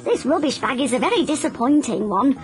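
A woman's voice: high-pitched, lively intonation, fairly quick, soft background music. A woman voicing a bored, chummy male street dog in a storybook, talking in a casual, coaxing way.

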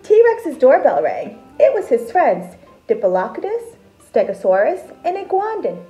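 A woman with a high voice reads a children's story aloud like a teacher. Her delivery swings widely in pitch, deliberate and expressive. Faint music plays behind her. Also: low-quality recording. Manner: animated, deliberate, explains terms simply. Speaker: teacher reading a picture book aloud. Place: small decorated room at home, indoors.

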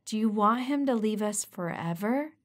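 A woman's voice: high-pitched, takes it slowly, pitched above the performer's own voice. A woman voicing a middle-aged mother who coaxes her daughter into an arranged engagement. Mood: coaxing. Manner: coaxing.

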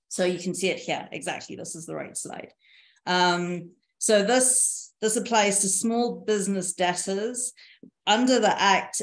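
A woman in her thirties presenting slides on a video call, in a measured, explanatory way. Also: fairly low pitch. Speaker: panelist presenting on insolvency reform. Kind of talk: presentation over shared slides. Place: indoor room, video call. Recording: echoey room on a video call.

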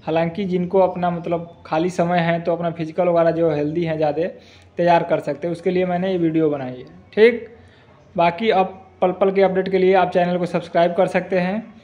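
A man's voice: high-pitched, flat delivery, a little fast, a little mellow, muffled recording. A young man giving an informal explanation to his viewers, recorded on a phone at arm's length indoors. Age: young adult. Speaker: exam-update video host. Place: indoor room at home.

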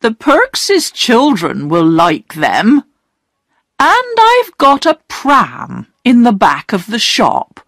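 A kind, older village shopkeeper, voiced by a woman in a storybook. She speaks gently and slowly. Her pitch moves up and down. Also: huge pitch swings, no room sound, clean studio sound, full character voice. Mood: gentle.

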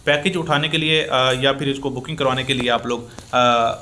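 A young man from Pakistan, filming himself on a phone at arm's length in a small workshop. He gives an earnest, repetitive sales pitch with many fillers. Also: steady tone, normal speed, noisy background. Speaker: small business owner promoting machines. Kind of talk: selfie sales vlog. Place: small workshop and shop indoors. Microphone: phone held at arm's length.

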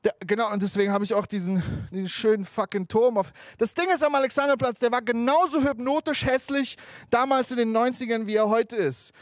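A man in his thirties who grew up in Germany, doing a stand-up comedy set on an outdoor rooftop stage into a handheld mic. He tells stories in an animated, conversational way and swears freely. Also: very high-pitched, a little fast, no room sound. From Afghanistan, raised in Germany.

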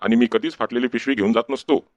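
A man's voice: medium pitch, quick pace, highs cut off, slight background noise, close to an everyday voice. A man voicing an exasperated, scolding village schoolteacher in a comic cartoon. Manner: scolding, questioning, name-calling.

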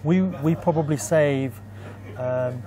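Shop owner, 30s, mellow warm voice, even explanatory delivery, camera mic. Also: male voice, a little high, slow pace. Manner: calm, explanatory, with many fillers. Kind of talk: on-site interview. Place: shop and community hall, indoors.

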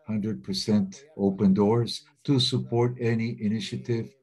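A man with a low, mellow voice interpreting a webinar talk. He speaks in a steady, measured way with an airy, husky edge, and pauses now and then as he works. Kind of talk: simultaneous interpretation of webinar talks. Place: remote webinar.

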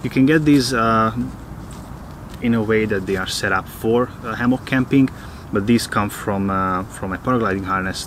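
A man in his thirties from Hungary, casually talking to a close-held camera outdoors in the woods, often pausing with uh. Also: fairly low pitch, a bit bright, a little hiss or hum. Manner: hesitant, many filler uhs. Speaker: vlogger.

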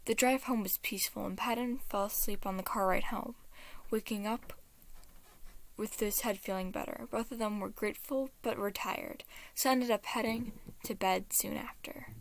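A woman with a sore throat casually reading fan fiction aloud and hosting her channel, soft and a little whispery. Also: medium pitch, fairly even intonation, normal speed, gravelly.

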